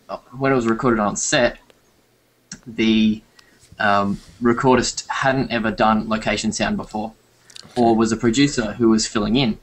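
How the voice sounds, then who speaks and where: Relaxed young man, low voice. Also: poor audio. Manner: relaxed, rambling with fillers and laughs. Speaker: guest, audio editor. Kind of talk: casual video-call chat.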